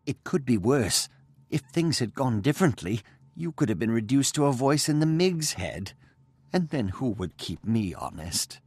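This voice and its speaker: A man's voice: mid-range voice, very animated, normal speed, a little mellow, slightly rough, no echo. A man playing an anxious, devoted young gnome-like engineer in a video game, earnest and pleading. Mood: relieved. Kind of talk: video game cutscene acting.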